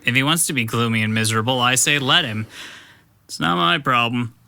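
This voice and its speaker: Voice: medium pitch, a little fast, vocal fry, bright, forceful tone. An actor playing a sullen, sarcastic college-age son, snide and dismissive, in an audio drama.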